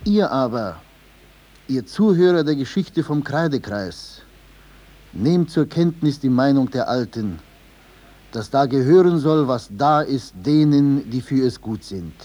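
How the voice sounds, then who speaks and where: An actor playing a solemn storyteller, measured and declamatory, in 1950s German radio drama narration over music. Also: male voice, medium pitch, expressive, mellow. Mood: solemn, instructive. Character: solemn male storyteller proclaiming a fable's moral.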